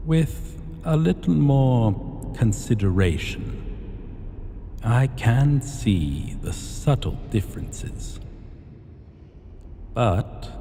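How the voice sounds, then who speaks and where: A menacing dragon king, played by a male actor, speaks in a low, dark voice with very slow, weighty delivery. He is haughty and possessive, commanding like a ruler in a fantasy audio roleplay, in a long cavernous reverb. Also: exaggerated voice. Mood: haughty, considering. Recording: long hall reverb, faint background noise.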